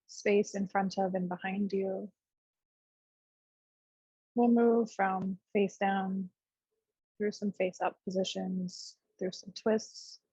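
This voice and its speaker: A woman with a husky, breathy voice leads a meditation as a yoga teacher. She speaks gently in short, unhurried phrases with space between them. The recording is dry and a little dull. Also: medium pitch, dry recording. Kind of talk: guided meditation, unscripted class instruction.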